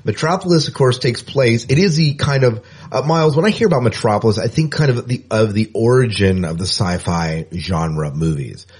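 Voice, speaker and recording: A man's voice: mid-range voice, moderate pace, few pauses, a little mellow, slightly rough. A man co-hosting a podcast in casual chat, often repeating phrases for emphasis. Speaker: podcast co-host.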